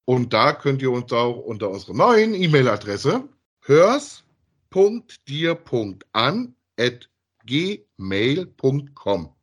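A man's voice: mid-range voice, sing-song, takes it slowly, husky. A man, chatting casually as a podcast co-host about audio dramas.

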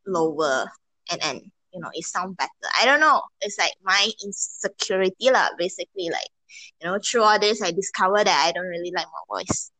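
A young woman co-hosting a casual podcast, talking in a calm, low, hesitant way with many fillers. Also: a little fast, no room sound, poor audio. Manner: self-described introvert, keeps voice low and calm. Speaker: podcast co-host. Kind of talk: casual chat.

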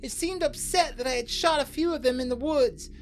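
A man voicing a panicked teenage boy who stammers and rushes his words. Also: very high voice, slight background noise, pitched above the performer's own voice. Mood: shaken. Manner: frantic, stammering.

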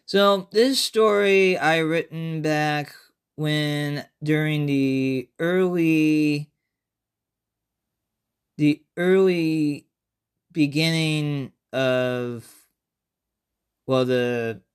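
Male horror podcast host, slightly high-pitched, unhurried and halting, casual solo chat with repeated phrases. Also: clear, resonant tone.

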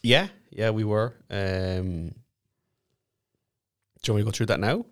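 Dry, lowish-voiced Irish man in his twenties. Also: lively intonation, fairly bright tone. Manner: dry, quick-witted, sweary. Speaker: podcast co-host. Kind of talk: casual comic chat.

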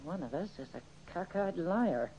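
A woman's voice: on the low side, lively intonation, a little slow, mellow, slight background noise. An actress playing a tough, suspicious woman gangster who talks in blunt slang in an old-time radio crime drama. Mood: hard, demanding. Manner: blunt, slangy, hard-boiled. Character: tough, suspicious female gangster in a robbery crew. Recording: muffled old radio recording.

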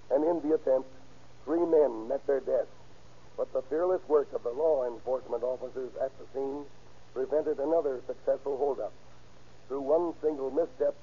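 A man giving a stiff, measured, earnest scripted address in the style of 1930s radio. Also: medium pitch, breathy edge. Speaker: guest police officer giving introduction. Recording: muffled old radio recording.

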